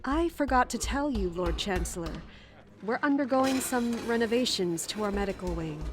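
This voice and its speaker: A woman's voice: fairly high pitch, lively intonation, moderate pace, music in the background, lightly acted. An actress playing a composed, formally spoken woman of a royal castle in a fantasy audio drama.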